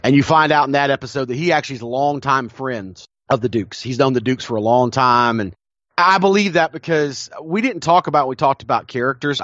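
Man, chatty co-host, enthusiastic, mid pitch, muffled lo-fi audio. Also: fairly bright tone, no echo. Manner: enthusiastic storytelling, frequent restarts, laughs often. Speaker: podcast co-host. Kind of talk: casual chat. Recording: dull lo-fi dry recording.

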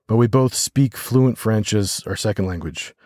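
An American man hosting a podcast solo, talking reflectively and haltingly as he thinks aloud. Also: fairly low pitch, warm tone, no room sound, studio quality. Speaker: podcast host.

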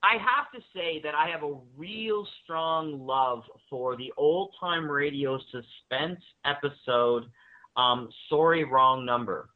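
A man's voice: on the high side, slow pace. A man speaking as a guest in a casual interview, rambling enthusiastically about his work and interests. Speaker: guest, audio drama writer and director. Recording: narrow-band phone-like line.

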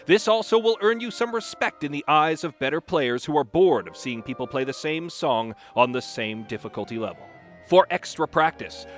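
A man gives a mock-serious, theatrical dramatic reading of how-to tips in a deliberate way. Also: medium pitch. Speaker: reader of a comic dramatic reading. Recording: music playing behind the voice.

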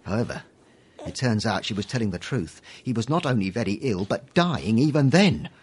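An actor playing a weary, gentle husband in a radio drama, speaking earnestly and formally in a husky voice. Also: male voice, lowish voice, expressive, moderate pace, a little hiss or hum, close to an everyday voice.

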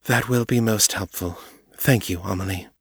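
A man's voice: on the low side, moderate pace, fairly bright tone, husky, dry recording, studio quality, full character voice. A man voicing a blunt, principled female vampire who presses her points directly. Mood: firm.

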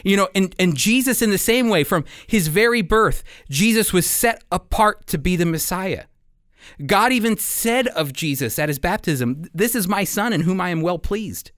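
A man delivers a sermon in a smooth, clear voice pitched a little high. He speaks earnestly, leaning on key phrases and reading scripture with dramatic weight. The sound is dry and close. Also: expressive, moderate pace, fairly bright tone, dry recording. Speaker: preacher. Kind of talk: sermon with scripture read aloud.

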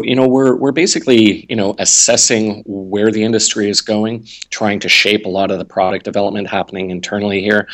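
Deep-voiced man explaining at length. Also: fairly bright tone. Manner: fluent explainer with many fillers. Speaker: guest, tech manager. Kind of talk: casual interview answers.

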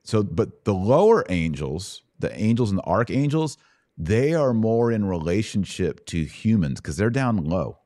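A man's voice: fairly low pitch, very animated, unhurried, dark tone, no echo, studio quality. A man hosting an informal Bible question-and-answer podcast, explaining things in colloquial language with emphatic repetition. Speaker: podcast host.

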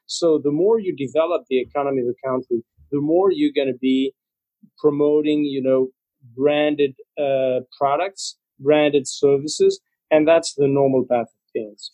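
A man from Spain speaks as an interview guest, his voice sitting a little higher than most men's. He talks fluently but leans on "you know" again and again. The sound is dry, with no room echo. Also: lively intonation, unhurried, dark tone. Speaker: interview guest, trademark association representative. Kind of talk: conversational interview answers.

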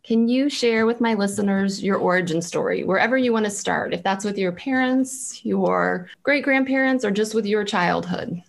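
A woman hosts an interview podcast, with a creaky, gravelly texture in her middle-pitched voice. She speaks in an earnest, encouraging way, asking questions and inviting her guest to share. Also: normal speed, few pauses. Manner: earnest, encouraging, reads aloud with care. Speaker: podcast host.

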